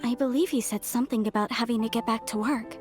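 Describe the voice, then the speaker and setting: A woman playing a gentle nursing sister in a video game, polite and plain-spoken. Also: fairly high pitch, steady tone, fast talker, close to an everyday voice. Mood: earnest. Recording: music playing behind the voice.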